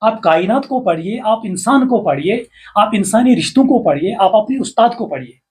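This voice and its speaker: An older man with a Kashmiri accent speaks in a slightly higher voice. His delivery is formal and measured, and he draws out the lines he stresses. He sounds like a writer giving earnest advice as a guest. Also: lively intonation, darkish tone, a little hiss or hum. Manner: formal, measured, slow recitation with rising emphasis. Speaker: poet and writer, interview guest. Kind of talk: reciting verse, then earnest advice.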